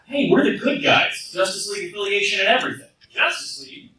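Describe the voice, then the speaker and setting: A man's voice: high voice, animated, fast talker, sharp tone, slight background noise. An actor playing cocky, wisecracking teenage boy superheroes with a glib, bantering manner in a radio play. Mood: skeptical, wisecracking. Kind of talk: radio play acting before a live audience. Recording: very echoey hall fan recording, distant.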